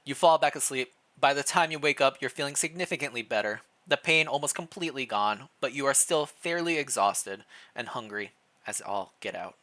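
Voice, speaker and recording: A man's voice: mid-range voice, expressive, a little fast, fairly bright tone. A man reading a story aloud on a live stream, mixing narration with casual commentary.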